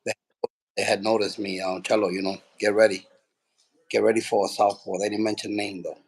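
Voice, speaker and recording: A man from Puerto Rico, a boxing trainer, telling his story in a relaxed, rambling way with lots of fillers. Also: fairly low pitch, brisk, a little hiss or hum, poor audio. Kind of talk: casual interview.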